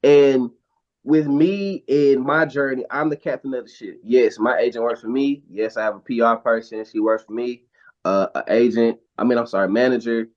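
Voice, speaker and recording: A man speaks as an interview guest, earnest and emphatic, and his voice sits at a middling pitch. He restarts his phrases as he drives a point home. The recording is dull and slightly lo-fi. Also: fairly even intonation, normal speed. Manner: earnest, emphatic advice-giving with frequent restarts. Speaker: guest actor and model. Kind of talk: casual interview talk. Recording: dull lo-fi sound.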